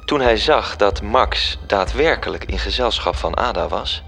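Animated celestial schemer telling a tale. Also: male voice, lowish voice, measured pace, creaky, full character voice. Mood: narrating, absorbed. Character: scheming celestial male storyteller, confident and knowing. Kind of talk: scripted radio drama narration.

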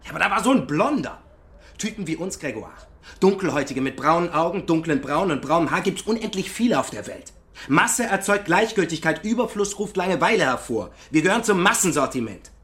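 An actor playing a wistful, self-dramatizing young failed actor in a German radio drama, with a theatrical delivery. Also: male voice, a little high, lively intonation, fairly quick, fairly bright tone. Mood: wry, deflated.

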